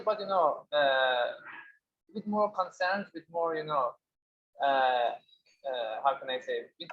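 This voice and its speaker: Man, Farsi native, documentary filmmaker, halting speech with fillers, muffled recording. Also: a little high, fairly bright tone. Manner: hesitant, many fillers and restarts. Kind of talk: festival interview. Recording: dull lo-fi audio.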